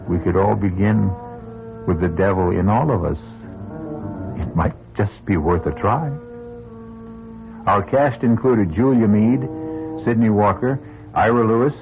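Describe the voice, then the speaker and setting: Male radio drama host. Low, theatrical, musing delivery over music, very muffled vintage recording. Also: creaky. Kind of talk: dramatic old-time radio hosting. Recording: muffled old radio broadcast.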